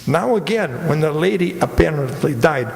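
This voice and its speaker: A man playing a clever, courtly, sly police commander in a stage radio mystery, deliberate and theatrical. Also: on the high side, animated, creaky. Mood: probing, confident. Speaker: amateur radio player. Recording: echoey hall, live stage performance.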